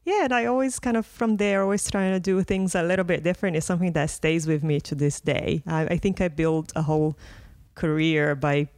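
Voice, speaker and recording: A woman's voice: fairly low pitch, fairly even intonation, a little fast, a little mellow, no echo, studio quality. Chatty Brazilian woman with South London twang. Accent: Brazilian with a South London mix. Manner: chatty, laughs often, restarts sentences. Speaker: guest. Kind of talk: casual interview chat.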